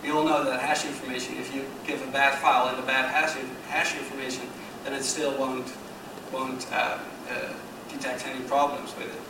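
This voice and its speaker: A man's voice: medium pitch, level tone, moderate pace. A Dutch man giving a technical conference presentation, explaining slides with frequent hesitations and restarts. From Netherlands. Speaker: conference speaker. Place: conference room. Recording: echoey hall from far off with noise.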